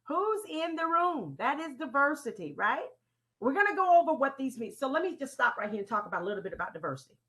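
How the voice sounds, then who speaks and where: A woman leading an interactive training talk in a deliberate, teacherly way, putting questions to her audience and repeating key phrases. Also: high voice, very animated, bright, forceful tone. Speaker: presenter leading a training session. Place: online conference call with slides.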